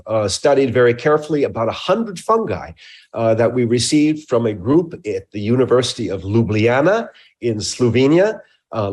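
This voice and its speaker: A man speaking as a research scientist and interview guest, explaining his work in a measured, technical way with emphatic phrasing. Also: medium pitch, a little mellow.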